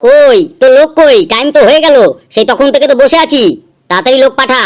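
An exasperated veteran boss of beggars for a comedy cartoon, voiced by a woman. The voice is high, with a self-important, scolding manner. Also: fast talker, lightly acted. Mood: impatient, scornful.

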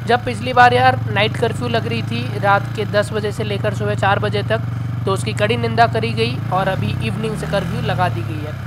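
A man's voice: high-pitched, very noisy. Chatty young man vlogging on a motorbike. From Odisha, India. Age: young adult. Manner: chatty, relaxed, many fillers. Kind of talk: casual motovlog commentary. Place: riding a motorbike outdoors on town roads.

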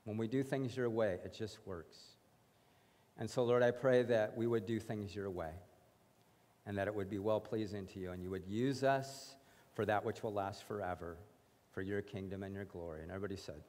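A man's voice: lowish voice, normal speed, darkish tone. A man leading an earnest spoken prayer from a lectern on a church stage, building emphasis on repeated phrases. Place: church stage, large indoor sanctuary. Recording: long reverb of a church hall.

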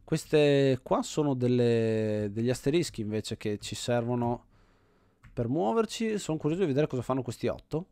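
A man's voice: mid-range voice, animated, moderate pace, mellow, no echo. Italian man commenting casually in a dry room. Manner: thinks aloud, often repeating himself. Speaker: host of an indie game video series.

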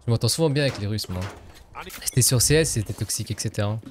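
A man's voice: lowish voice, fast, noisy background. A man live-streaming a game in casual, slangy French sprinkled with English gaming terms, chatting as he plays.

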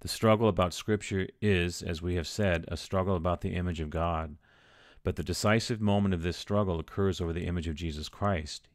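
A priest giving a teaching, reading passages aloud and then explaining them in plain, unscripted words. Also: male voice, low voice, fairly even intonation, fairly quick, no echo, studio quality.

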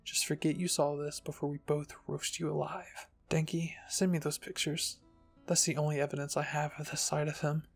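A man voicing a protective teenage girlfriend who speaks quietly but with menace, in a fan fiction reading. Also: on the high side, flat delivery, normal speed, vocal fry, breathy edge, faint music behind. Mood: quietly threatening. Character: protective, threatening teenage girlfriend of a sleepy boy.

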